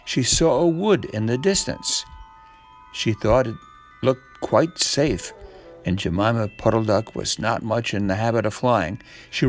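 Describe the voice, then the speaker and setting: A man narrating a children's storybook from a script, with deliberate, expressive phrasing. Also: medium pitch.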